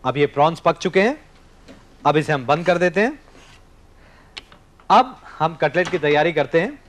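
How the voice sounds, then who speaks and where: Man in his thirties, TV chef, slightly high voice, step-by-step cooking demo, studio kitchen, lapel mic. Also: animated, moderate pace. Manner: explains step by step while cooking, directly to camera. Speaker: TV cooking show host. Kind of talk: scripted cooking demonstration.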